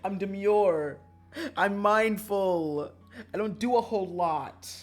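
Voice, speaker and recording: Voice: medium pitch, takes it slowly, soft background music. A streamer chatting casually on a livestream in a joking, self-mocking, dramatic way, swearing freely.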